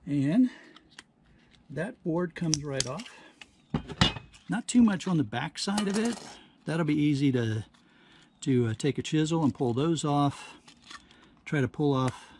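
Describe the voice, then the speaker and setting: A man giving casual hands-on demonstration commentary, halting and thinking aloud as he works at a workbench. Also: a little high. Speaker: host of a scrapping how-to channel. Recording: clear background noise, handling clicks.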